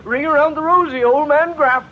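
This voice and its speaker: An actor playing a bitter, anguished middle-aged father in an old-time radio drama, with theatrical, halting delivery. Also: very high-pitched. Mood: bitterly mocking. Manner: theatrical, halting, repeating phrases for emphasis. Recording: muffled old radio recording, faint noise.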